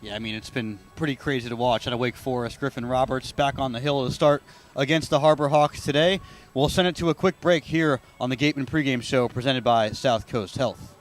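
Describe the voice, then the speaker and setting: A man's voice: medium pitch, fairly quick, soft background music. A man hosting a sports radio broadcast, doing pregame talk and play-by-play commentary.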